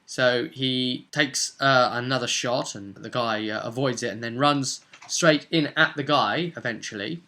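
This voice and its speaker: A man giving a casual, unscripted recap of a tabletop role-play session as game master, telling the story in a rambling, halting way. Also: mid-range voice, sharp tone.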